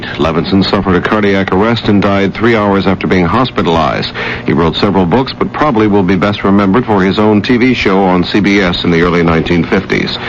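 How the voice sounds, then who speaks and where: Male radio news anchor, low register, scripted bulletin read, faintly noisy recording. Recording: dull noisy old radio broadcast.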